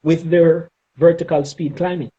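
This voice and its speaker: A middle-aged man with a Jamaican accent giving advice in an interview, speaking emphatically and deliberately and often checking in with "okay?". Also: a little high, level tone, warm tone, muffled recording. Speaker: interview guest, former air traffic controller.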